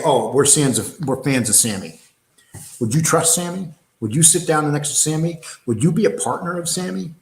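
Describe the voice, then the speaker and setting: A man's voice: mid-range voice, echoey room. A man hosting a livestream talk show, blunt and rambling, throwing out rhetorical questions and reading viewer comments. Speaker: show host.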